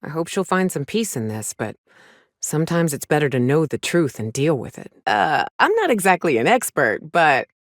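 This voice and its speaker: An actress playing a hard-drinking, trigger-happy but loyal frontier mercenary in a video game, with folksy, salty, sardonic phrasing. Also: female voice, deep voice, big pitch swings, normal speed, no room sound, clean studio sound. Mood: dry, reflective. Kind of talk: video game character dialogue.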